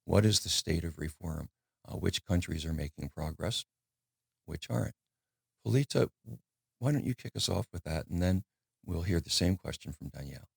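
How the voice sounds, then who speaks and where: A middle-aged man with an extremely deep, gravelly voice hosts a conversation. He tells his story in a relaxed, reflective way with small restarts, and the sound is close and dry. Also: moderate pace, dry recording. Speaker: podcast host. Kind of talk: conversational introduction.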